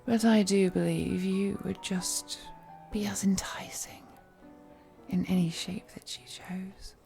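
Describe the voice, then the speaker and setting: Woman acting as ancient flirty vampire lady, courtly and refined, really unhurried, some creak in the voice, roleplay audio w music behind. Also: mid-range voice, steady tone, warm tone. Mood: musing, gracious. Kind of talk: scripted roleplay audio, intimate one-sided dialogue to the listener.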